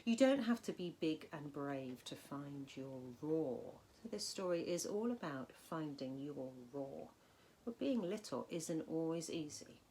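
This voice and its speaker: Female storybook reader, unhurried, very varied intonation, dramatic emphasis for young listeners. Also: deep voice, animated, warm tone, a little hiss or hum. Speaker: primary school teacher reading a story. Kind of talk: expressive children's storybook reading.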